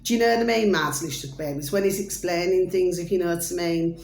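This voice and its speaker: A woman, chatting loudly and ramblingly to her viewers as she talks through videos, with lots of fillers and repeated asides. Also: fairly low pitch, steady tone, fast. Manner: loud, chatty, rambling, keeps addressing viewers with a pet phrase. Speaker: vlogger reviewing videos. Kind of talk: rambling casual commentary to viewers. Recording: echoey room with faint noise.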